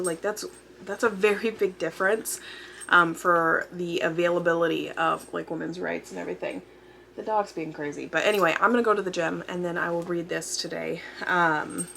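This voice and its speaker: A young adult woman with a hoarse, fading voice, chatting casually about what she reads, rambling with fillers and self-corrections. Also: fairly low pitch, a bit bright, a little hiss or hum. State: hoarse, losing her voice. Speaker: book vlogger. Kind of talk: casual chat. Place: at home with a dog nearby. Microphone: camera mic.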